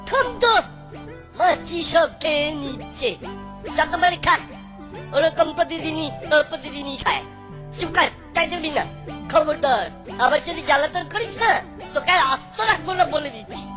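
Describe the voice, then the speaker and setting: A woman's voice: sits high, normal speed, natural voice. A woman voicing a grumpy, stingy sweet-shop owner and father in a cartoon, with a scolding, dismissive manner. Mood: irritated. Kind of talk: cartoon voice acting. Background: background music.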